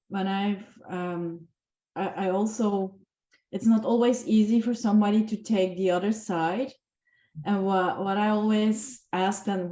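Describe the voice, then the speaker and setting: An earnest woman shares her experience in a group conversation, often restarting her sentences. Her voice is mellow and warm, and it rings with the echo of a bare room. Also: medium pitch. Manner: earnest, halting, with frequent restarts. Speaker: participant in a group discussion. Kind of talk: reflective group discussion. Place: video call. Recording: echoey room.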